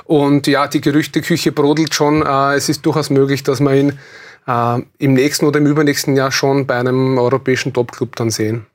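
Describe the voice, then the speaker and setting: A man's voice: medium pitch, flat delivery, a little fast. A man with an Austrian accent speaking earnestly and enthusiastically as an athlete guest in a studio interview.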